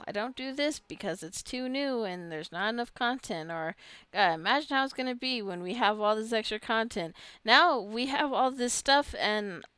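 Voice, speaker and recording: Female gameplay commentator, chatty and informal, thinking out loud; slow delivery, dry close sound. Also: mid-range voice, clear, resonant tone, no room sound. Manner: chatty, thinking aloud to herself and viewers. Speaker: gaming video host. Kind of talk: casual rambling gameplay commentary.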